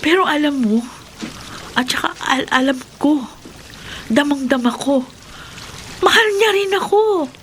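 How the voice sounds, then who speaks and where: A woman's voice: sits high, animated, a little slow, pauses often, a bit bright, slight background noise. A woman playing a lovesick, insistent young Filipina in a melodramatic, pleading horror radio drama style. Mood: lovestruck, stubborn.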